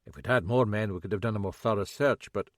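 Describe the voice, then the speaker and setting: A man voicing a steady middle-aged Scottish police sergeant with a plain, dutiful, matter-of-fact manner and a Scottish accent. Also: lowish voice, quick pace, no room sound, clean studio sound, lightly acted.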